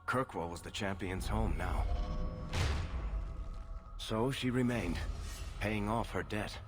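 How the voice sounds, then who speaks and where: A roguish storyteller from a fantasy game, with a very deep voice. He narrates dramatically and wryly, pausing for effect. Prominent music plays behind him. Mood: grave, dramatic. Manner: wry, deliberate storytelling with dramatic pauses. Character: smooth roguish male storyteller, wry and dramatic.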